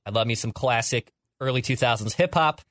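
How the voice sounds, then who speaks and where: A man's voice: medium pitch, fairly quick, a bit bright, no room sound, clean studio sound. A young man co-hosting a podcast in a casual chat, talking emphatically and exaggerating for effect. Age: young adult. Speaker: podcast co-host.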